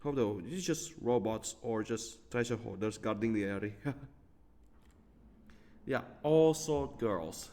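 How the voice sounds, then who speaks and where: A man giving casual, rambling commentary while playing a game, laughing and clearing his throat often. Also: mid-range voice, lively intonation, takes it slowly, dark tone. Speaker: gaming streamer. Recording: game music behind.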